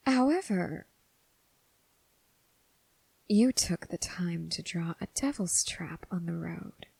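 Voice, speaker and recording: Woman acting as female demon, languid and condescending, low-pitched, creaky, half-whispered ASMR roleplay, bored and mocking. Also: warm tone, no echo, full character voice, rough recording. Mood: probing, condescending. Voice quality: breathy, husky, with creaky fry. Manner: languid, condescending, drawn-out phrasing with sighs.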